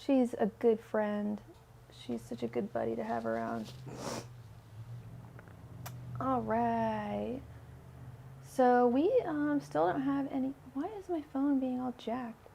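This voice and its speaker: Young woman, tarot livestream host, casual chat, fry in the voice, weak-sounding today. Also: a little high, expressive, slow, pauses often, warm tone, a little hiss or hum. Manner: chatty, filler-heavy, addresses viewers by name. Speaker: tarot reader hosting a livestream.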